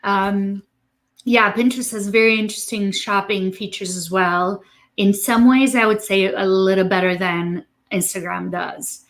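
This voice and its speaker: A woman from Romania speaking as an interview guest, conversational and a bit halting as she repeats words while thinking. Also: medium pitch, steady tone. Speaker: interview guest, digital marketing agency president.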